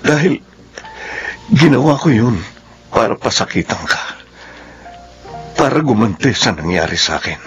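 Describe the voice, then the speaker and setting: An actor playing a remorseful, heartbroken young lover in a radio drama, earnest and anguished. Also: male voice, mid-range voice, sing-song, measured pace, pauses often, a bit bright, faint music behind. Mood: remorseful, pleading.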